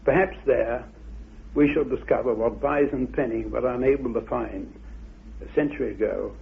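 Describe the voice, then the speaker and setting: A man giving an expert explanation in a measured, formal and precise way. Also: medium pitch, creaky, slightly rough, phone-quality sound. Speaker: expert commentator. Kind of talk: explanatory talk.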